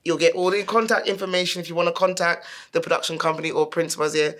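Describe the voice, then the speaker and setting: Interview host, chatty, mid-range voice, quick follow-up questions. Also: mid-range voice, fairly even intonation, a bit bright. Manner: chatty, with fillers and quick laughs. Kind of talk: interviewing a guest.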